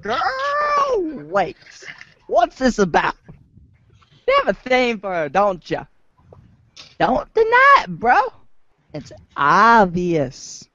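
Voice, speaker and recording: A man's voice: very high-pitched, huge pitch swings, drawn-out words, pauses often, highs cut off, background noise, full character voice. An amateur actor, a man, playing a sassy, slangy teenage boy as a drawling valley girl, in a reader's theater recording. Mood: teasing.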